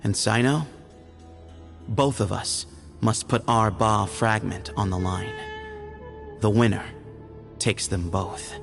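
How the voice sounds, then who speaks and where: An actor playing a solemn, pragmatic temple heir in a video game, speaking in a formal, measured way. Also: male voice, on the low side, fairly even intonation, creaky, over music. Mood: firm, formal.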